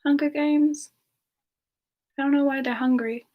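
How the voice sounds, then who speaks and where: Casting: woman as young female frost demon singer, high voice, slow and gentle, sweet in-character ASMR stream host. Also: fairly even intonation, highs cut off. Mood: gentle, chatty. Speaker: streamer answering viewer questions in character. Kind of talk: semi-ASMR in-character live Q&A.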